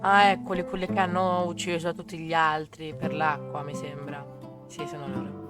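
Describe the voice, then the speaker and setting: A male game streamer talking in an offhand, musing way. He comments casually and reads captions aloud, with clear music behind him. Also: on the high side, little pitch movement, fairly quick.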